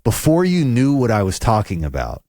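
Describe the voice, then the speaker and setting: A man's voice: on the low side, huge pitch swings, moderate pace, mellow, no room sound, studio quality. A man hosting a podcast, asking long, loose questions and swearing casually. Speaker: podcast host.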